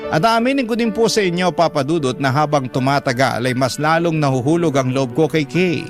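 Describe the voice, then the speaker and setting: A man's voice: fairly high pitch, animated, brisk. A man with a Filipino accent giving a dramatic first-person narration of a radio story. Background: background music.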